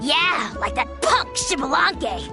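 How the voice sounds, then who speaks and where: A woman voicing a tiny, bratty, self-important dragon lord in a video game, shrill, blustering and insulting. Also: sing-song, slow pace, creaky, music in the background, heavily acted. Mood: scheming, furious.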